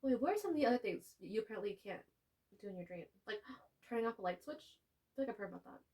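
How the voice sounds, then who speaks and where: A young woman co-hosting a podcast, telling stories in animated casual chat. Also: mid-range voice, quick pace. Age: young adult. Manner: animated storytelling with frequent laughs. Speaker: podcast co-host.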